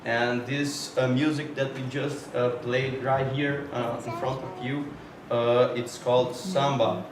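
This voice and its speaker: Guy in a band, slow, lots of uhs, echoey hall with noise behind. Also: mid-range voice, level tone, recorded from a distance, loud music behind the voice. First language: Portuguese. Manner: slow, hesitant, many fillers. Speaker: band member. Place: school hall.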